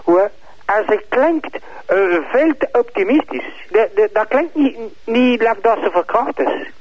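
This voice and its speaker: A man's voice: very high-pitched, animated, unhurried. A man with a Flemish accent, a hesitant, stammering caller putting questions over a dull telephone line. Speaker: phone-in caller questioning a suspect.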